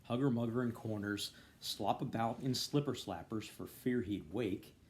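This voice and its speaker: A man reading literary fiction aloud as an expressive narrator, following a written text. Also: lowish voice, moderate pace, slight background noise. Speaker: reader of a novel, volunteer.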